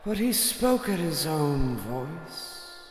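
Very slow, solemn alien elder. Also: male voice, a little high, sing-song, exaggerated voice. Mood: solemn, resigned. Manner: ceremonial, measured. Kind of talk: radio drama acting. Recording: echoey hall reverb, music underneath.

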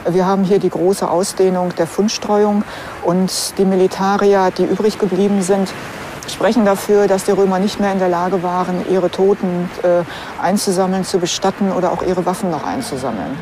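A woman's voice: lowish voice, fairly even intonation, normal speed, noisy background. A woman explaining finds as an archaeologist in an unscripted documentary interview.

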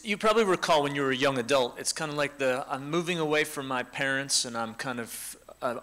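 Bright-voiced man hosting a panel. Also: mid-range voice. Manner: relaxed, joking. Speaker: team leader hosting a panel. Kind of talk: casual church talk to a congregation. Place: church hall with a seated audience. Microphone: handheld microphone.

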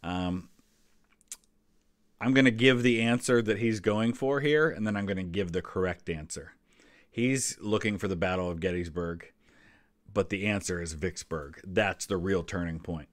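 A man's voice: low-pitched, moderate pace, no echo, studio quality. An American man in his forties giving casual, explanatory reaction commentary with offhand asides. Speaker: history channel host reacting to a quiz video.

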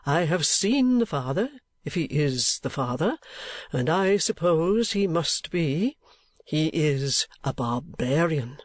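Storybook old gentleman, played by a man: high, slow, kindly, earnest and sentimental, emphatic and halting. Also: no echo, full character voice. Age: older. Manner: emphatic, repetitive, halting, drawn-out delivery.

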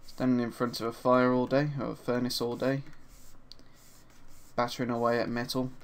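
A man with a slightly hoarse voice from a sore throat narrates a screen-recorded 3D sculpting tutorial in a relaxed, chatty way, with frequent ums and uhs. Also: medium pitch, moderate pace. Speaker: 3D art instructor. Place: at his desk, voice-over for a screen recording.

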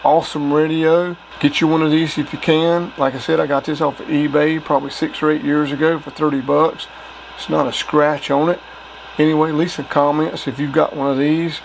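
Guy doing a casual gear demo, voice a bit high, some noise behind him. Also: little pitch movement, moderate pace, low-quality recording. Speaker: radio hobbyist reviewing gear. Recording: clear background noise.

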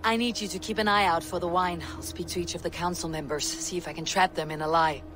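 A woman playing a stern, determined Viking warrior in a video game, blunt and commanding in a measured delivery. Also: lowish voice, steady tone, music in the background, close to an everyday voice. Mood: resolute. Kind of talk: dramatic video game cutscene acting.

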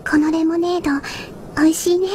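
An actress playing a sweet, troubled teenage girl in an audio drama, soft, hesitant and wistful. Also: very high voice, little pitch movement, brisk, a little hiss or hum. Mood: wistful, fragile. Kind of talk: anime-style audio drama acting.

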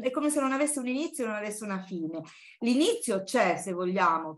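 An older woman speaks at a medium pitch as an academic host introducing a guest. Her delivery is informal and digressive, as if she is working out her thoughts aloud in a classroom. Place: university classroom.

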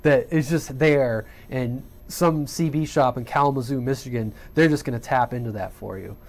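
A man's voice: mid-range voice, moderate pace. A man giving a casual, rambling tutorial at his home radio workbench, often correcting himself and checking in with "right?". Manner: rambling, self-correcting, conversational with frequent "right?". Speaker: CB radio hobbyist demonstrating equipment.

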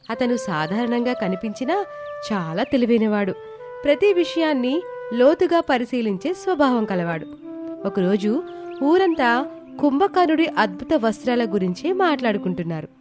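Woman storyteller, higher voice, reading a moral tale over music. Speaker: story narrator. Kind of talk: scripted moral-story narration. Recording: background music behind the voice.

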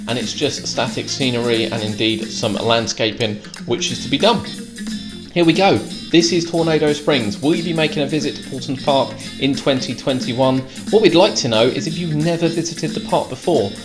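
Man, British accent, vlogger tour commentary, medium pitch, prominent guitar music. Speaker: theme park vlogger.

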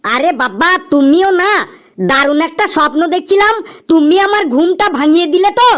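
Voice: very high-pitched, moderate pace, noisy background. A performer voicing a lazy, dim-witted and cocky young son in a comedy cartoon, whining and boasting. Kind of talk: Bengali comedy cartoon dialogue.

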